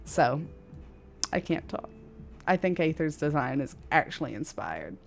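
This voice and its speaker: A young woman streams a game with a slightly low voice. She rambles casually and freely shares opinions in crude slang. Faint game music sits behind her. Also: big pitch swings, unhurried. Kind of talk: casual live gameplay chatter. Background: game music and dialogue.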